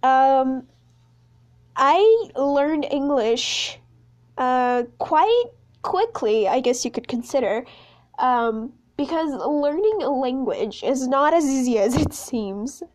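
A woman's voice: sits high, lively intonation, slow, a little mellow. A sixteen-year-old young woman from Brazil, a Portuguese speaker, chatting casually and ramblingly as a solo podcast host. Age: teens, sixteen. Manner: rambling, chatty, self-conscious.

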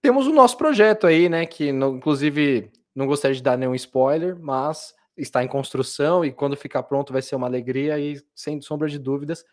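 A man's voice: on the high side, brisk, hardly any pauses. A man hosting a podcast, asking friendly questions in a conversational way with frequent tag questions. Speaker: podcast host. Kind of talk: conversational interviewing.

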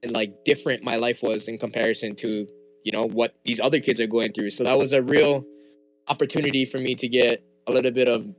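Hawaiian man in relaxed chat. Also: mid-range voice, brisk, a little hiss or hum, low-quality recording. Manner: relaxed, frequent fillers and restarts. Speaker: guest. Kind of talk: casual interview chat.

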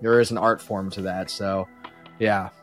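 A man chatting casually as a podcast guest, explaining in a halting, self-effacing way. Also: low-pitched, flat delivery, a bit bright, soft background music. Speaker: podcast guest, musician and composer. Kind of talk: casual chat.

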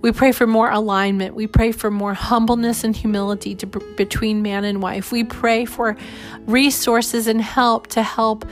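A woman's voice: a little high, little pitch movement, moderate pace. A woman leading a spoken congregational prayer in an earnest, pleading way, often repeating "God" and "Lord.". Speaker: prayer leader. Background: soft guitar music.

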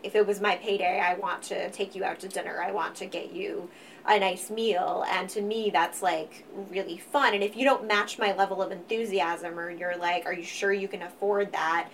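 A woman talking in a relaxed co-host chat about star signs, her voice quiet but rising in volume when she gets excited. She sits a little back from the microphone, so she sounds slightly distant. A faint hiss of room noise hangs behind her. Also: medium pitch, normal speed. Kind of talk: casual astrology chat.

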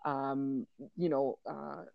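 A woman's voice: extremely low pitch, flat delivery, drawn-out words, vocal fry, dry recording, highs cut off. A woman hosting a casual mindfulness podcast, talking loosely with fillers and restarts. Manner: rambling, many fillers and restarts. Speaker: podcast host, coach to entrepreneurs.

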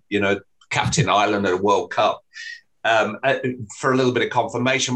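A middle-aged man from England, a football commentator, telling stories in a relaxed interview with restarts and self-deprecating humour. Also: lowish voice, rough recording. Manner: chatty storyteller, frequent restarts and self-deprecating laughs. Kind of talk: relaxed anecdotal interview talk.

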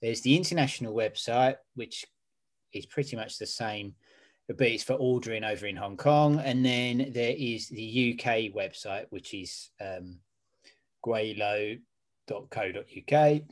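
A man's voice: mid-range voice, measured pace. A man co-hosting a casual beer tasting podcast, laid-back and wry, swearing casually as he talks. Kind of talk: casual chat reviewing beer.

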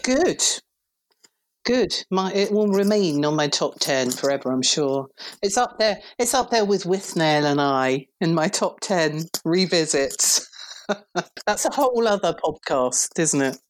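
Deep-voiced English woman in her fifties. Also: lively intonation, unhurried, a little hiss or hum. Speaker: podcast guest, PR director. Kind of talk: relaxed conversational interview.

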